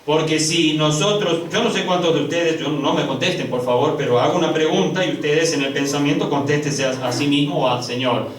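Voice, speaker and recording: A man preaching a sermon to his congregation, calling them brothers and swinging from loud, high, drawn-out emphasis to hushed low passages. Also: fairly even intonation, a little hiss or hum. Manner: addresses congregation as brothers, slow drawn-out stresses. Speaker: pastor. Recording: echoey room, picked up from a distance.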